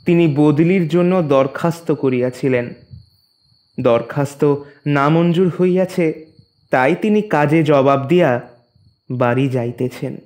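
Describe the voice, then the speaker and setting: A man narrating a literary story in a measured, expressive, formal reading. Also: a little high.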